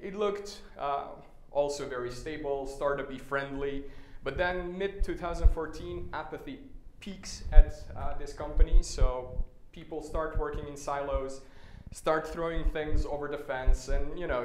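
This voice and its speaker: A man's voice: fairly high pitch, fairly even intonation, unhurried. Serbian-born man giving a casual meetup talk. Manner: casual, many "uh" fillers, wry asides. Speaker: conference speaker. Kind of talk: conference talk with slides. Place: meetup stage, indoors. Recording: echoey room, faint noise.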